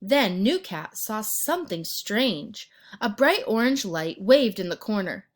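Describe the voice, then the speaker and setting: A woman narrating a children's picture book as an expressive read-aloud storyteller. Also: medium pitch. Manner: pauses to ask the listener questions and point out pictures.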